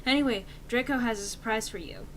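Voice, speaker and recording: A nosy old wizard headmaster from a story, read out by a woman. He chats casually in a lively, rather high voice, with some noise in the recording. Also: brisk, lightly acted. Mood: curious, casual.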